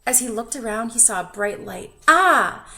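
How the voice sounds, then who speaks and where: A woman reading a children's picture book aloud expressively, with questioning asides to young listeners and drawn-out emphasis. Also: fairly high pitch, fairly even intonation, vocal fry. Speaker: children's story reader.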